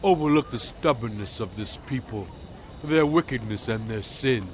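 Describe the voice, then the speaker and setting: A man's voice: medium pitch, a little hiss or hum, natural voice. A male actor playing a stern prophet addressing his people in a dramatized scripture reading, with grave, emphatic oratory. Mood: earnest pleading. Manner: grave, deliberate, emphatic phrasing.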